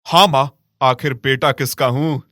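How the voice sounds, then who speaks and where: Man playing a greedy villainous husband, slow delivery. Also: mid-range voice, steady tone, a bit bright, no room sound, clean studio sound, lightly acted. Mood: smug, scheming. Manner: smug, scheming, with villainous hums. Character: greedy, callous adult son and husband in a cartoon.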